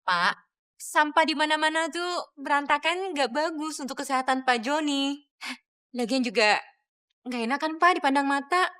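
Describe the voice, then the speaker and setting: An actress playing a fussy, disapproving guest who nags, with an Indonesian accent, in a television drama. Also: female voice, high-pitched, normal speed, pauses often, bright, forceful tone. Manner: nagging, scolding.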